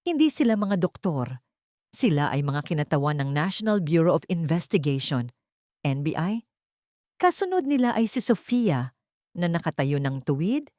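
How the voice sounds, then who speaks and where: A woman's voice: low-pitched, sing-song, normal speed, a little mellow, no room sound, clean studio sound. A woman with a Filipino accent narrating a scripted dramatic audio story.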